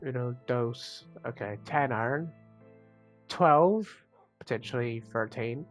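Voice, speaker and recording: A man with a cold, sounding croaky and sniffly, rambling casually as he comments on his own gameplay, with self-mocking asides. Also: mid-range voice, takes it slowly, soft background music. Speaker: gaming channel host.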